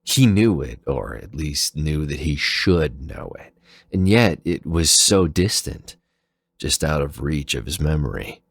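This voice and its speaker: Really deep voiced guy narrating a dramatic story, slow and creaky, close dry sound. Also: animated, no room sound.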